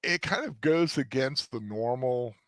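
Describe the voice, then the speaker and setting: A man co-hosting a casual review discussion, talking in a hesitant, halting way with many restarts. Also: mid-range voice, expressive, a bit bright, no echo. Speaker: co-host.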